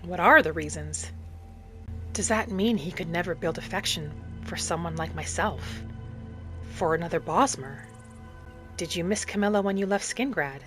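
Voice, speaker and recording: A woman plays a gentle wood elf wanderer in a cozy fantasy game. She muses in the first person and asks questions with soft curiosity. Light music sits behind her. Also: on the low side, normal speed, natural voice. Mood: curious. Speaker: gamer narrating a roleplay as her character. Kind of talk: first-person in-character roleplay narration.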